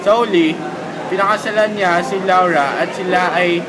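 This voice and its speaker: High-voiced encouraging schoolboy pal played by a young man. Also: normal speed, crowd noise, close to an everyday voice. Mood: reassuring. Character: encouraging schoolboy friend retelling a hero's tale. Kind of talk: scripted amateur student radio drama. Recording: very noisy amateur recording.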